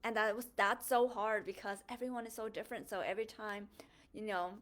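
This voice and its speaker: A woman's voice: mid-range voice, steady tone, a little fast, a bit bright. A young woman from Thailand, raised in the United States, sharing her story in an earnest, thoughtful monologue with frequent fillers and self-corrections. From born in a refugee camp in Thailand, Hmong, raised in the United States. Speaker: host of a faith podcast. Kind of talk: reflective personal monologue.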